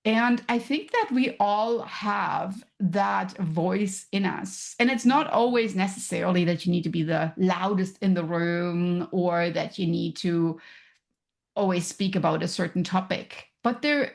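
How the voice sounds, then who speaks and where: A woman's voice: fairly low pitch, measured pace, gravelly. A woman from Germany with a German accent, a podcast guest who explains her ideas thoughtfully, with examples. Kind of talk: casual interview chat.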